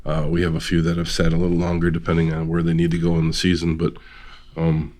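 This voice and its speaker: A man's voice: low voice, completely flat delivery, fast talker, a little mellow. A man hosting a podcast, chatty and joking with his co-hosts. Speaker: podcast host. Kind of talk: casual chat.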